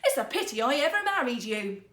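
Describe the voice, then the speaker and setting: A woman voicing a scornful, sharp-tongued, quick-witted wife in a fairytale, with theatrical exclamations. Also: high voice, big pitch swings. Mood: furious. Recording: roomy, echoing sound.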